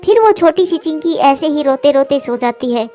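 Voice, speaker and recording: A woman narrates a children's cartoon tale from a script. Her pitch is very high, and faint music runs underneath her voice. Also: lively intonation, normal speed, warm tone.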